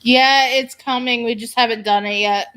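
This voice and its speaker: A woman's voice: fairly high pitch, fairly even intonation, normal speed, bright, forceful tone. A woman co-hosting a casual chat, asking brief questions.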